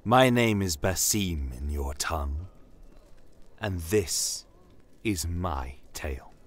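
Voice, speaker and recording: A man performs a stern fantasy war commander recounting his tale. The voice is very low and slow, formal and brooding, with proud authority in a storytelling style. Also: lively intonation, husky, full character voice. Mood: brooding, measured. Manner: measured, deliberate, formal, brooding. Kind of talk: first-person fantasy audio storytelling.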